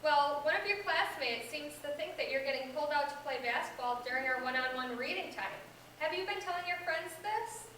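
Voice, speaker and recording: A woman's voice: sits high, expressive, fairly quick, sharp tone. A young American woman playing a patient, supportive reading teacher in amateur reader's theater, with an instructive scripted delivery. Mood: friendly. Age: young adult. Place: echoey indoor room. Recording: echoey room, distant microphone, background hiss.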